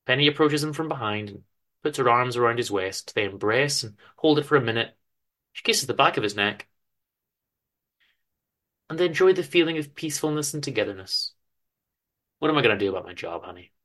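A man's voice: medium pitch, animated, brisk, long pauses, fairly bright tone. A man giving a dramatic reading of his own story, with wry, informal asides.

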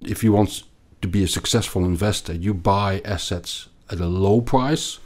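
Finance guest: middle-aged Belgian man, accented, very deep voice, halting delivery. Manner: hesitant, many repeated words and restarts. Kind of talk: conversational interview.

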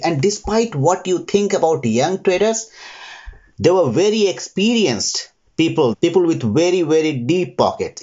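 A man's voice: mid-range voice, darkish tone. Man in a dull, muffled interview recording. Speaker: interview guest, retail trader. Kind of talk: reflective interview answers.

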